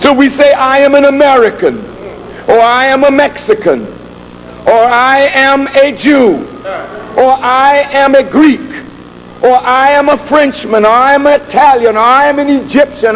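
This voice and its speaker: Guy preaching a sermon, really high voice, slow and emphatic, bit of background noise. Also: rough recording. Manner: emphatic, deliberate, rhetorical repetition and questions. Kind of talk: sermon, oratorical public address.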